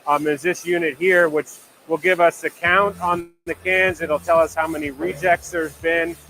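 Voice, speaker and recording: A man's voice: fairly high pitch, steady tone, normal speed, pushed and bright, poor audio. A man explaining production equipment in a promotional video, in plain practical language. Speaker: brewery production worker overseeing brewing and packaging.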